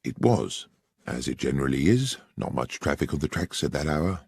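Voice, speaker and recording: A man's voice: rumbling low, big pitch swings, normal speed, breathy edge, no room sound, clean studio sound, full character voice. A man reading aloud, voicing a respectful, matter-of-fact railway station master. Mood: dutiful. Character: middle-aged male railway station master, deferential.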